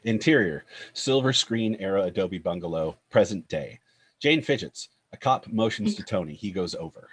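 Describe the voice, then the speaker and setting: A man reading screenplay stage directions as narrator in a scripted style, with a husky voice. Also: deep voice, lively intonation, moderate pace.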